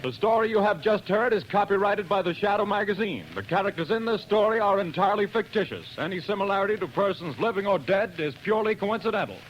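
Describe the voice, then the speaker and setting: A man announcing on 1940s radio in a crisp, emphatic, salesy way. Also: high-pitched, lively intonation, fast, hardly any pauses, soft background music. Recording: dull old radio broadcast.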